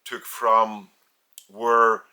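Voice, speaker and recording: A man with a Lithuanian accent, a spiritual teacher speaking in short halting phrases with restarts. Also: fairly low pitch, completely flat delivery, pushed and bright.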